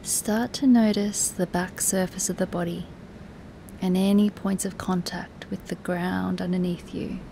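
A woman teaching guided relaxation in a soothing, soft, instructive way, with breathy, near-whispered moments and long pauses. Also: lowish voice, fairly even intonation, a little hiss or hum, low-quality recording. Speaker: yoga nidra meditation teacher.

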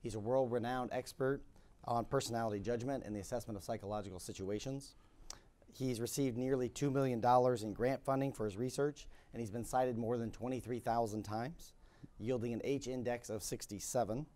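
A man's voice: mid-range voice, a little fast, warm tone. A man in his thirties with a slightly scratchy voice, interviewing a guest on a conference stage in a chatty, hesitant way full of ums. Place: conference stage with curtain backdrop. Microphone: lapel mic.

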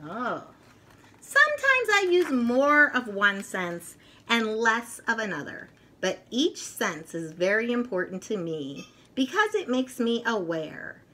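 A middle-aged woman reads and teaches to young children in an expressive, deliberate, sing-song storytelling manner. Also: on the high side. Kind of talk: picture book read-aloud for young children. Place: furnished room at home, indoors.

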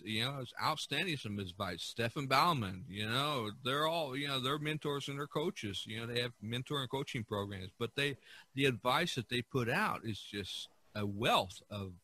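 A man hosting a casual podcast discussion, speaking haltingly and drawing out some words. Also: medium pitch, pushed and bright, slight background noise. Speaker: podcast host.

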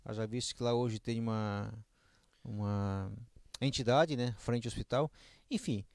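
A middle-aged man, hosting an interview in a halting way on a handheld studio mic. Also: mid-range voice, a little mellow, clean tone, no room sound. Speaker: interviewer, host. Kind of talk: local political interview. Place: small indoor studio. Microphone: handheld microphone.

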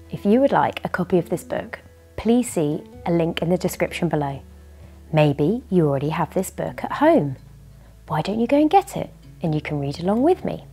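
A British woman hosts a children's story time. Her voice is lowish and a little husky, with animated, swooping intonation and an unhurried pace, over music. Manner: animated, addressing young listeners. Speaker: children's story reader and host. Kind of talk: expressive children's storybook reading. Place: furnished living room by a fireplace.

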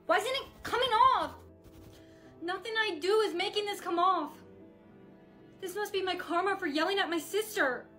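A woman's voice: extremely high pitch, moderate pace, pauses often, bright, forceful tone, faint music behind. A woman acting a bratty, anxious schoolgirl in a melodramatic skit. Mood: worried. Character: bratty, anxious schoolgirl, big sister to Emily.

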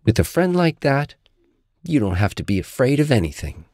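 Male reader as small storybook tiger, childlike, excitable, fretful, wildly varied pitch, dry close sound. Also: normal speed, dry recording. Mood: admiring. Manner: exclaiming, wheedling, swooping.

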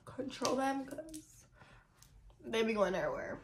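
Casting: early-twenties woman, under the weather, high-pitched and relaxed, phone vlog. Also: moderate pace, poor audio. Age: 20s. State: slightly sick, voice a little deep. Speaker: YouTuber. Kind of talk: casual chat. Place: bedroom. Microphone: phone camera.

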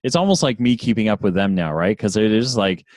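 A man, co-hosting a casual podcast chat over a video call, eager and full of quick yeahs and restarts. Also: on the low side, animated, darkish tone, dry recording, studio quality. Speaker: podcast co-host.

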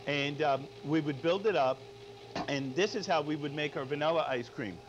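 A man's voice: on the high side, normal speed. A middle-aged male chef giving an unscripted cooking demonstration, often checking in with short tag questions. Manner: frequent tag questions "all right?". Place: studio kitchen. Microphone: lapel mic. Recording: dull lo-fi audio, background noise.

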